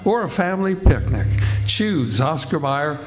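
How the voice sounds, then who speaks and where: A somewhat high-pitched, polished announcer, played by a man in 1940s radio style. He reads scripted copy earnestly and theatrically, with music clearly behind him. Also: lively intonation, a little slow. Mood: upbeat selling. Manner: polished, mock-dramatic sales patter.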